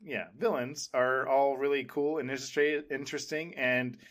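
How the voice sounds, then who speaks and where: Male podcast host, medium pitch, casual conversational delivery. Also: fairly even intonation, normal speed, fairly bright tone. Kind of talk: casual chat.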